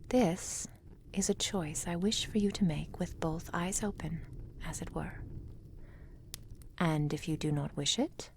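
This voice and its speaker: A woman playing a proud, lovelorn immortal witch in an intimate ASMR roleplay, speaking softly and breathily in formal, deliberate phrases, often in a whisper. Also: deep voice, a little hiss or hum. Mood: solemn, cautioning. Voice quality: soft, breathy, frequently whispered.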